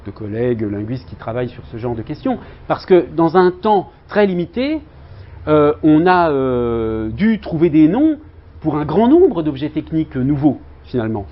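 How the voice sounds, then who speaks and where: A man's voice: a little high, very animated, moderate pace. Hesitant male lecturer, lo-fi. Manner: hesitant, many fillers and restarts. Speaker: university lecturer in linguistics. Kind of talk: seminar talk with students. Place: university lecture room.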